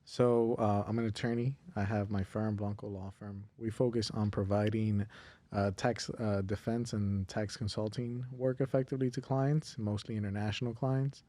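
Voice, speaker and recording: A man's voice: fairly low pitch, little pitch movement, moderate pace, dark tone. A man from Honduras, a tax attorney guest on a podcast, explaining his work in an easygoing, conversational way. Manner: easygoing, explanatory, laughs lightly. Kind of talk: casual interview chat.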